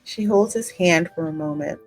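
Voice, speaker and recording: A woman's voice: on the low side, moderate pace. A woman hosting a gaming video, narrating story text and adding casual asides, with music behind her. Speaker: gaming channel host. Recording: music under the voice.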